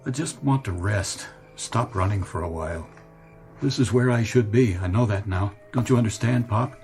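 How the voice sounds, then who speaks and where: A man's voice: fairly low pitch, a little mellow, close to an everyday voice. An actor playing a troubled, nostalgic grown man longing for his boyhood in a radio drama, earnest and wistful. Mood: wistful, imploring. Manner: earnest, wistful, halting when distressed. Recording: radio drama with music behind.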